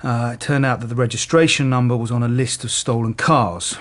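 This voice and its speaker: An actor playing a matter-of-fact, slightly hesitant police detective in a naturalistic radio drama. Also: male voice, medium pitch, fairly even intonation, a little fast, natural voice.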